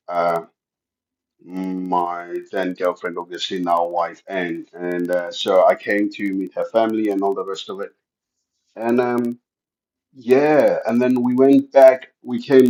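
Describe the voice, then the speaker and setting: A man's voice: fairly low pitch, darkish tone, low-quality recording. A man from South Africa, a guest on a video call, telling his story in casual conversation and often repeating words as he starts sentences.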